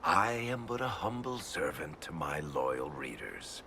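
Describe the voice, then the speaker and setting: A man's voice: very deep voice, very animated, unhurried, bright, forceful tone, slight background noise, exaggerated voice. An actor voicing a wry, boastful male dwarf author in a video game, teasing and mock-humble. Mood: smug.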